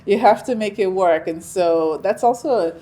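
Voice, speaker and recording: A middle-aged woman from Nigeria, a Yoruba speaker, talking about her life in a relaxed, reflective interview, with frequent ums and restarts. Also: medium pitch, a little slow. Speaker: interview guest. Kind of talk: conversational interview.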